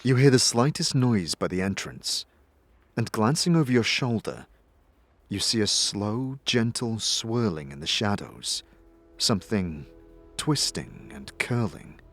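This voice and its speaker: A man narrating a fantasy tale in a scripted, dramatic style, with suspenseful, deliberate storytelling. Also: lowish voice, very animated, dry recording, faint music behind.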